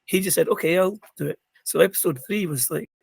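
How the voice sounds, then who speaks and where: A middle-aged man with a croaky, gravelly voice, chatting as an interview guest and rambling with many ums and restarts. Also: high-pitched, fairly quick, darkish tone, no room sound. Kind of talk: casual interview chat.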